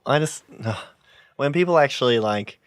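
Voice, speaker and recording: A young man with a very deep voice, co-hosting a podcast and talking passionately with lots of fillers. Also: animated, moderate pace, clear, resonant tone, no echo, studio quality. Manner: passionate, rambling, many fillers. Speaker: podcast co-host. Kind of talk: casual podcast chat.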